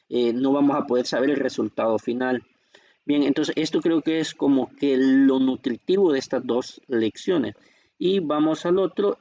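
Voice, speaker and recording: A man's voice: medium pitch, a little mellow, lo-fi sound. A man with a Salvadoran Spanish accent, teaching a math lesson from a textbook, patient and checking in with the class often.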